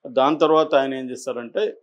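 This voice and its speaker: A man with a Telugu accent giving conversational political commentary as an analyst, explaining in a halting way and mixing in English words. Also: medium pitch, fairly even intonation, lo-fi sound. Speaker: news analyst.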